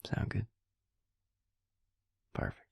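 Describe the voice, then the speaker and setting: A man playing a devious vampire posing as a suave dentist in an intimate audio roleplay, talking softly and reassuringly to the listener in a breathy, gravelly half-whisper. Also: no echo, studio quality. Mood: soothing, clinical. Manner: soft-spoken, slow, reassuring bedside patter. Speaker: dentist character. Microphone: close, dry microphone.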